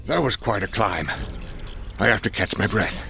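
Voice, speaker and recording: A man voicing a grave, knowing companion in a fantasy game, measured like a storyteller. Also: lowish voice, lively intonation, creaky, sharp tone, a little hiss or hum, full character voice. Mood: winded.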